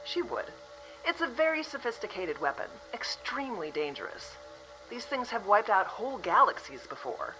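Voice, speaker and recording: A woman acting a composed female official from the future in an audio drama, calmly apologetic and matter-of-fact. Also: on the high side, normal speed, music in the background, low-quality recording.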